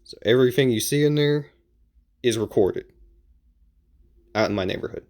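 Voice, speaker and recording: A man giving casual advice as an amateur video creator, explaining in an informal, halting and repetitive way. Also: mid-range voice, darkish tone.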